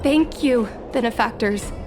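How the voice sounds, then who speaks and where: An actress playing a headstrong, impetuous young daughter in a video game. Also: female voice, on the high side, a little slow, close to an everyday voice. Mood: reluctant politeness. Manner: petulant, impetuous. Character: headstrong, defiant young daughter, eager pilot. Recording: music behind the voice.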